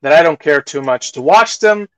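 A man hosting a podcast, chatting casually through film reviews, haltingly repeating phrases and acting out imagined reactions. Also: fairly high pitch, lively intonation, no room sound, rough recording. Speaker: podcast host reviewing films.

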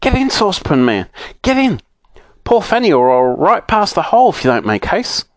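A man's voice: high voice, wildly swooping pitch, moderate pace, no echo, pitched above the performer's own voice. A man voicing Joe, an eager and impatient young boy in a children's storybook. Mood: urgent.

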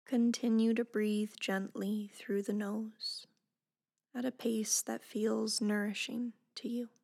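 Female meditation guide: soft, breathy, gentle, very slow and level, near-whispered endings. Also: on the high side, level tone. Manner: gentle, unhurried, deliberate instruction.

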